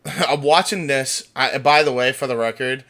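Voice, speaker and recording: A man's voice: mid-range voice, moderate pace, pushed and bright. A man co-hosting a livestream over a voice call, chatting informally and reading out comments with some swearing. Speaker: livestream co-host. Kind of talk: casual chat, reading out viewer comments.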